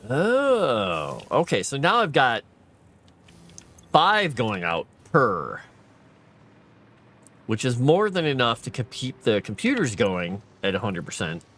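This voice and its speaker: A man's voice: mid-range voice, very animated, slow pace, long pauses, fairly bright tone. A tired man streaming a game late at night, thinking aloud and chatting with viewers. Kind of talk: casual gameplay live stream commentary.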